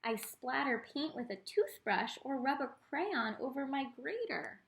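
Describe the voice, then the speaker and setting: A woman reading a picture book aloud to children as an art teacher, expressive, with teaching asides spoken directly to the young listeners. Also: high voice, a little slow, creaky.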